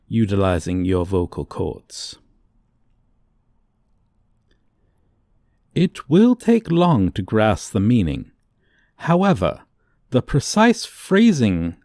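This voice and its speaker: A proud, courting dragon played by a man speaks in a low, close and dry voice. The delivery is unhurried and swings widely in pitch, with pompous, old-fashioned phrasing suited to an ASMR fantasy romance. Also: gravelly, a little mellow, no room sound, exaggerated voice. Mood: condescending, fond. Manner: pompous, formal, archaic diction. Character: haughty, archaic-speaking male dragon, tenderly courting a human.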